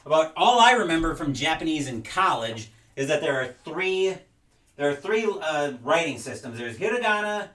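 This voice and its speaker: A man's voice: on the high side, sing-song, normal speed, a bit bright, distant mic. A young adult man chatting casually while unboxing and sorting wrestling game cards at home, rambling and reading names aloud as he goes. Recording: roomy, off-mic sound.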